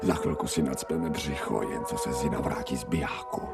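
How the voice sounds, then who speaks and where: A man's voice: rumbling low, gravelly. A man playing a smug, gluttonous pet dog in a radio play, savouring his thoughts and murmuring with relish. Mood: blissful, fawning. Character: smug, gluttonous male stray dog turned pampered pet. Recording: distant-miked radio production with music.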